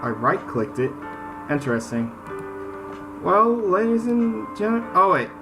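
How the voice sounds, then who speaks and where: Lively male gamer over soft music. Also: sits high, takes it slowly. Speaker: gaming video host. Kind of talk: casual let's play, reading game text aloud. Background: game soundtrack music.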